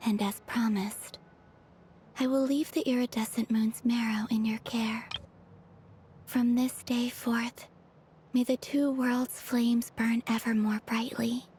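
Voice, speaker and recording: Gentle moon goddess type for a game, breathy almost whispering, slow, solemn and formal. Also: female voice, a little high, little pitch movement, slight background noise. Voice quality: breathy, soft, near-whispered. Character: serene, ethereal young moon maiden, gentle and solemn. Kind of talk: dramatic video game voice acting.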